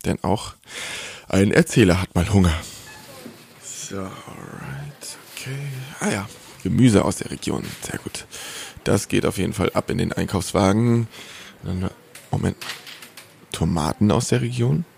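Very deep-voiced male podcast host. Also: lively intonation, measured pace, creaky, long pauses, a little mellow, slight background noise. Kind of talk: scripted podcast narration.

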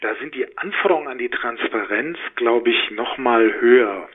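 A man answering interview questions as an expert, explaining in a halting, deliberate way and correcting himself, on thin call-like audio. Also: mid-range voice, phone-quality sound. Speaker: interviewed data protection expert and professor.

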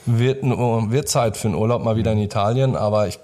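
Young guy from germany, lowish voice, slangy excited podcast chat. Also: fast talker. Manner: colloquial, slangy, enthusiastic. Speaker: podcast co-host. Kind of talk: casual chat.

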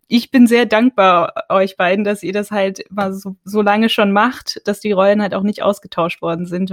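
A woman's voice: medium pitch, no echo. Female co-host, eager and giggly, dry close mic. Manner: warm, enthusiastic fan talk with giggles. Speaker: podcast co-host. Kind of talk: casual interview chat.

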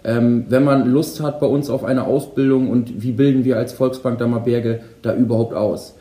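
A man's voice: medium pitch, steady tone, normal speed, dark tone. A man hosting a company podcast, greeting guests in a friendly, casual way with frequent filler sounds. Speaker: podcast host. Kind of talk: casual moderated podcast chat. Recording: roomy echo.

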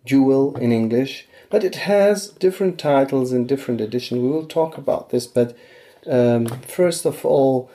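Man, mellow voice, publisher, podcast host, deliberate questions, frequent "I see". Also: mid-range voice. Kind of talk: interview.

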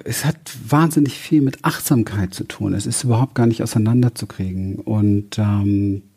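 A man in conversation, affirming and thoughtful, pausing with fillers. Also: fairly low pitch, mellow, husky. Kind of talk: conversational talk.

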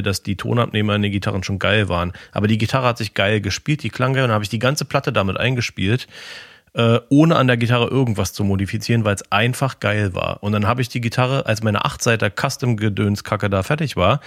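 A man with a Berlin accent telling a relaxed, slangy story as a podcast co-host. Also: on the low side, no room sound, clean studio sound. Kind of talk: casual chat.

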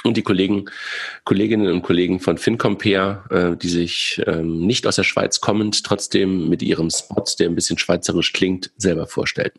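A man's voice: sits low, steady tone, moderate pace, husky. A man hosting a podcast in casual chat, stuffed-up with a cold, hesitant and laughing often. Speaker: podcast host.

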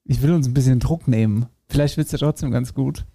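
Upbeat teasing podcast host, dry close sound. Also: male voice, mid-range voice, brisk, mellow, slightly rough, dry recording. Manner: upbeat, teasing, addresses listeners directly. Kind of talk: casual chat. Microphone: headset.

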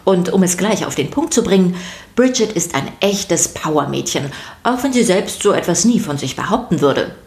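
A woman's voice: lowish voice, lively intonation, normal speed, a little mellow. A woman narrator reading a scripted podcast for children.

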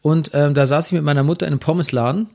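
A man's voice: on the high side, very fast, vocal fry, darkish tone. A man from Bavaria with a Bavarian accent, telling stories in casual conversation with frequent restarts. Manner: chatty storyteller, frequent restarts.

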